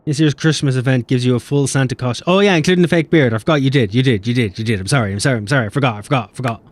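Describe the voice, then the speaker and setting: A man's voice: medium pitch, dry recording. A man streaming a game, chatting casually and swearing as he bickers with chat. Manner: animated, swearing, quick banter with chat.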